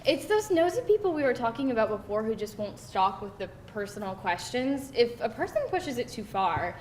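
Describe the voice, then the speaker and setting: A woman's voice: big pitch swings, normal speed, a little mellow. A woman playing a frank, sardonic twenty-eight-year-old career woman in a screenplay table read. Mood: dry, aggrieved. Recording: roomy echo, rumbly background noise.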